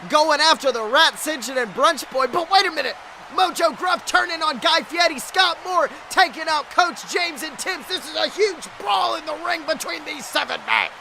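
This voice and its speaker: Man, wrestling play-by-play, very high forceful voice, breathless and dramatic, slight background noise. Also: lively intonation, moderate pace, vocal fry. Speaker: wrestling commentator, podcast host. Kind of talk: excited play-by-play wrestling commentary.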